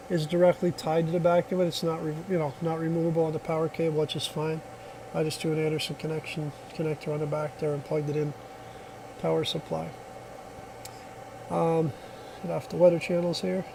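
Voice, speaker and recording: A man's voice: on the high side, creaky, mellow, slight background noise, poor audio. A man giving a casual hands-on product demonstration, explaining step by step in a plainspoken way. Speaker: product reviewer.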